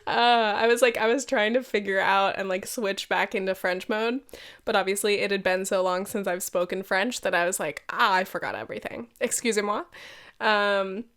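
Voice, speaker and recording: A woman's voice: medium pitch, moderate pace, a bit bright. A young woman in her twenties, nasal and congested from a head cold, hosting a casual solo podcast with chatty, swearing, self-deprecating asides. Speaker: podcast host.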